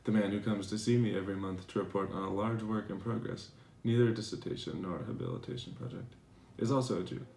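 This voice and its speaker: Man acting a creaky-voiced, aggrieved professor. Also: fairly low pitch, fast, hardly any pauses, a little mellow, a little hiss or hum. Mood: indignant, defensive. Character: defensive, aggrieved male German professor writing a letter. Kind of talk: dramatized letter reading. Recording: roomy, echoing recording.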